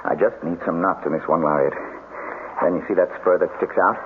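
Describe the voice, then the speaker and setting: A man's voice: deep voice, brisk, lightly acted. An actor playing a brave, take-charge young man in a vintage radio drama, firmly instructing the others. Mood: calm, explaining. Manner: firm, instructing, commanding. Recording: tinny, noisy old radio broadcast.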